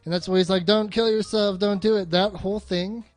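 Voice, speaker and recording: Male podcast co-host, slightly high voice, dry close sound, sweary and opinionated film chat, faint music behind. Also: fairly even intonation, brisk, no room sound. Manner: profane, emphatic, opinionated. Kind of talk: casual film discussion.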